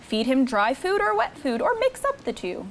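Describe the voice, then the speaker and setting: Lively high-voiced woman reading to children. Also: moderate pace, slight background noise. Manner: expressive, encouraging, talking to young children. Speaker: children's story time host. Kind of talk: reading a picture book aloud to children. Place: studio set with seated children.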